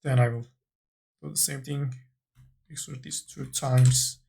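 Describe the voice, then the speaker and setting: Slow, husky man narrating a tutorial. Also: mid-range voice, fairly even intonation, dark tone. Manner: halting, thinking aloud in short phrases. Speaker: 3D modeling tutorial instructor. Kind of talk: unscripted software tutorial narration.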